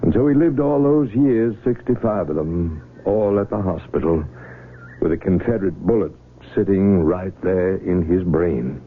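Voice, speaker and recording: An actor playing a doctor who explains the case in a calm, measured way. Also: male voice, sits low, close to an everyday voice. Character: calm, matter-of-fact hospital doctor. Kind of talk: 1970s radio drama acting. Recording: tinny old radio broadcast with faint noise.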